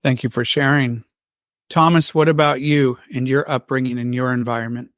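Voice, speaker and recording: A man's voice: mid-range voice, fairly even intonation, moderate pace, fairly bright tone, no room sound, studio quality. A man hosting a podcast, calling on each guest by name and politely thanking them for their answers. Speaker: interviewer, podcast host. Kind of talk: interview questioning.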